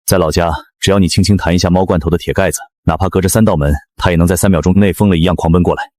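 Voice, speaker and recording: A man voicing a seasoned, middle-aged ex-soldier ally in an animated drama, blunt and matter-of-fact. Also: low-pitched, very fast, dry recording, clean studio sound. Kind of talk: animated web drama dubbing.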